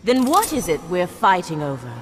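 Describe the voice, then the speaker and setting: A woman's voice: fairly low pitch, big pitch swings, normal speed, heavy background noise. A woman playing a proud, combative young warrior in a video game. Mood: challenging. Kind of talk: video game character dialogue. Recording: loud music behind the voice.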